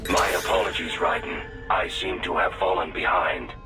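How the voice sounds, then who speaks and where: An actor playing a coldly logical but loyal robot companion in a video game, speaking in a formal, analytical way with robotic processing. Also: male voice, sits low, normal speed, loud music behind the voice. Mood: measured, analytical. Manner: stilted, formal, analytical delivery.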